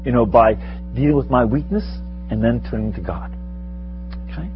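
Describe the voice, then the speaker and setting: A man's voice: medium pitch, normal speed, lo-fi sound, faint music behind. A man in his sixties giving an informal, conversational spiritual talk to a live audience, rambling and self-deprecating with frequent chuckles. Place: meeting room with a live audience.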